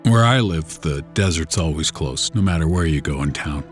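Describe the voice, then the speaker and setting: Very deep-voiced man telling a scary story. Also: lively intonation, moderate pace. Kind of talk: first-person horror storytelling in a casual, conversational way. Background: background music.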